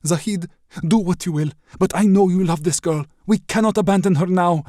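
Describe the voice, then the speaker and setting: A man's voice: high-pitched, brisk, dark tone, no room sound, pitched above the performer's own voice, lightly acted. Loyal woman warrior, raised and earnest, voiced by a male narrator, dry. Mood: resolute. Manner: earnest and urgent. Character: steady hooded woman warrior, loyal and grave.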